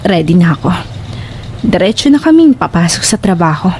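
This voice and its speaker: A woman's voice: on the low side, big pitch swings, moderate pace, a little mellow. A woman playing a frightened, guilt-ridden young nightclub worker in a Tagalog horror audio drama, distraught and pleading. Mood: subdued, resolute. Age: young adult. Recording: noticeable background noise.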